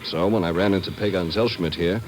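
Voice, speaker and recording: An actor playing an earnest, heartfelt Israeli airline part-owner and former refugee in a 1950s radio drama. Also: male voice, low-pitched, brisk, close to an everyday voice. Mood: grave, worried. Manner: earnest, heartfelt, hesitant at times. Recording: vintage radio recording with background noise.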